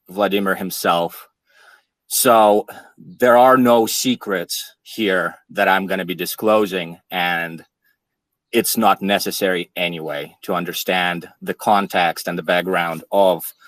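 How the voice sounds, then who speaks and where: Male, 30s, from Ukraine, slow and deliberate monologue, dry close recording. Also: fairly low pitch, fairly bright tone, dry recording. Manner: measured, halting, frequent fillers. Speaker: podcast speaker. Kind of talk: monologue, personal account.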